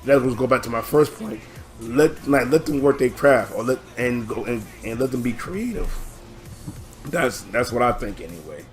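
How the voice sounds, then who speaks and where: A hoarse man hosting his own channel, rambling through a casual reaction video with many halting restarts. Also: mid-range voice, animated, soft background music. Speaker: channel host.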